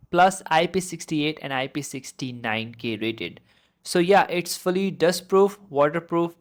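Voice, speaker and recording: A tech reviewer with a medium-pitched voice narrates a product review. The delivery is informal and enthusiastic, moving through features point by point.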